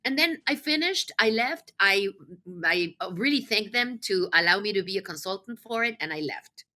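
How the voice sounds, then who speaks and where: Bright-voiced, enthusiastic woman from Mexico City. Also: mid-range voice, lively intonation, normal speed. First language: Spanish. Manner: enthusiastic, emphatic. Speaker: podcast guest, nonprofit executive. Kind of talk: conversational interview answers.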